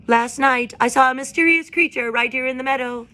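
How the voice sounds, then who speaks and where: A woman voicing a loud, fussy, excitable male owl who hoots and screeches at the crowd. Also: high-pitched, a little fast, pitched above the performer's own voice. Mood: alarmed.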